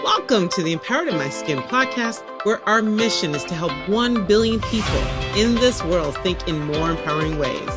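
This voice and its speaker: A woman hosting a podcast, introducing her guest in a bubbly, laughing, animated way with a husky voice. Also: mid-range voice, normal speed, hardly any pauses, over loud music. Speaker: podcast host. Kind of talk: energetic interview intro.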